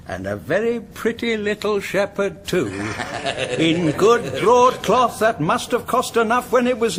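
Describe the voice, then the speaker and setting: An actor in a radio drama playing a mocking, menacing outlaw lord with a sardonic, theatrical drawl. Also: male voice, high voice, a little hiss or hum, heavily acted.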